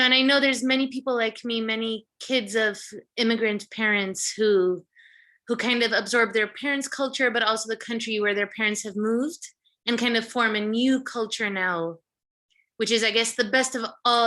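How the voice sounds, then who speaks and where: Bright, high-voiced woman guest. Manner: reflective, thinks aloud with fillers and restarts. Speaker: guest, vegan restaurant founder and chef. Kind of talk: casual conversational interview.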